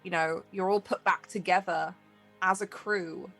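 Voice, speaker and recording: A woman's voice: on the low side, steady tone, unhurried, a bit bright, faint music behind. A woman running a tabletop game as game master, improvising narration in loose, meandering phrases.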